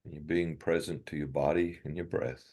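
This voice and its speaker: A man leading a guided meditation in a gentle, unhurried way, leaving long pauses between short phrases. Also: very deep voice, steady tone.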